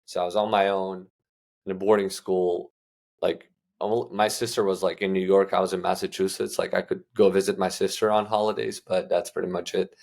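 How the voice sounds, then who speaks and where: A man's voice: low voice, level tone, a little fast, creaky, darkish tone. A young man from Uzbekistan, a guest answering interview questions in a loose, casual way with lots of fillers and restarts. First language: Russian. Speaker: guest entrepreneur.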